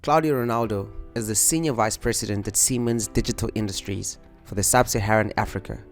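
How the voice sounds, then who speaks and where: Low male voice-over with soft music. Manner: measured, announcing. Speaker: show co-host. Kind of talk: scripted voice-over introduction.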